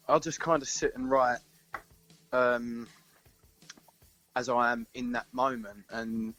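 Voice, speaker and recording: Give the voice of a British man, a musician being interviewed on the radio. He talks informally at a middling pitch, rambling a little and feeling his way through his answers. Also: a bit bright, poor audio. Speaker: band singer-songwriter being interviewed. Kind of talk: casual chat on a radio interview.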